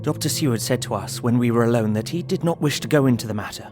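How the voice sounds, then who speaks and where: Grave young husband, played by a male actor, measured diary narration for audio drama, troubled tone, music underneath. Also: medium pitch, lightly acted. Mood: sombre. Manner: sombre, measured.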